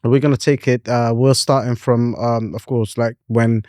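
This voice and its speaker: A man's voice: medium pitch, level tone, moderate pace, warm tone, no echo, studio quality. A man hosting a casual podcast interview, rambling in a laid-back way with many fillers. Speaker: podcast host.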